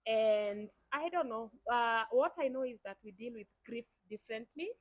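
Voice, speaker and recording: Here is a young Kenyan woman who hosts her own channel in a chatty, upbeat way. Her voice sits a little high and sounds dry and close, and she talks straight to her viewers. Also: normal speed, no echo. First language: Swahili. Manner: chatty, enthusiastic, addresses viewers directly. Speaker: YouTube host. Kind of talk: casual chat.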